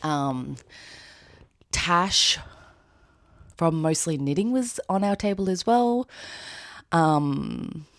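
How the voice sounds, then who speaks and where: A woman with a raspy, hoarse voice, chatting casually in a rambling vlog with fillers and laughs. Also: lowish voice, expressive, very slow, pauses often, dry recording, studio quality. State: hoarse after an event. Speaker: knitwear designer vlogger. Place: outdoors with birds nearby.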